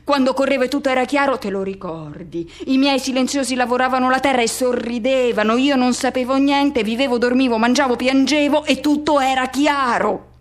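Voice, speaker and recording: A woman performs a man reliving his first teenage love in a radio play monologue. The voice sits a little high. The delivery is theatrical and poetic, built on short fragments and repeated words. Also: a little fast, lightly acted. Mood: wistful, searching. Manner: fragmented, repetitive, poetic phrasing.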